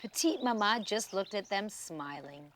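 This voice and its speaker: A woman storyteller reading a children's tale expressively, with dramatic pauses and emphasis. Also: mid-range voice, animated, fairly bright tone.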